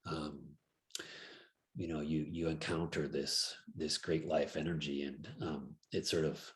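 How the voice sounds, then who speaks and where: A man in a reflective group conversation, speaking slowly and hesitantly with many pauses and ums. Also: extremely low pitch, little pitch movement.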